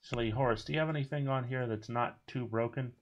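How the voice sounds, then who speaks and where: A man giving casual, rambling commentary while playing a video game, with a booming voice. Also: fast, highs cut off, slight background noise. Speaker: streamer.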